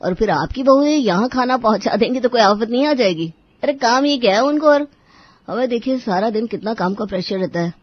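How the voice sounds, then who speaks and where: Woman doing entitled lazy son, whiny, demanding, high voice, cartoon family tale, dry recording. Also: expressive, brisk, dry recording, lo-fi sound.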